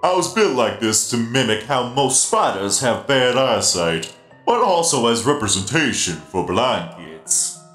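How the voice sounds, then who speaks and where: Give this giant animatronic spider DJ , played by a man, an unhurried, animated delivery. He is genial and playful, happy to explain things patiently. The voice sits in a roomy echo with music behind it, in comic dub style. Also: medium pitch. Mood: genial, explanatory. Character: giant friendly half-blind animatronic spider DJ. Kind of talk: comic dub character acting.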